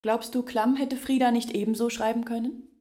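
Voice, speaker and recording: A woman's voice: a little high, little pitch movement, moderate pace, warm tone, lightly acted. An actress playing a grave village woman from a disgraced family in a German radio drama, speaking in pointed, emphatic phrases.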